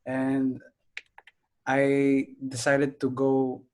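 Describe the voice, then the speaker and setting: An eighteen-year-old man with a flat, even voice introduces himself haltingly and restarts his sentences often. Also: medium pitch. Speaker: guest. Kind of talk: casual self-introduction.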